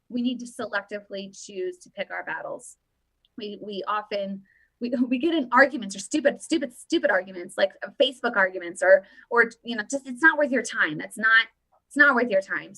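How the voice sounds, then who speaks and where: A woman's voice: on the high side, bright, forceful tone, no echo, rough recording. A woman in her thirties hosting a casual political commentary show on a webcam, rambling with many restarts. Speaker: host.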